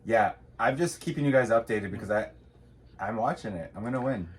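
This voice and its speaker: A man's voice: fairly low pitch, a little fast, a crowd in the background. A man co-hosting a casual reselling chat, teasing and joking through his banter. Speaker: co-host of a reselling channel.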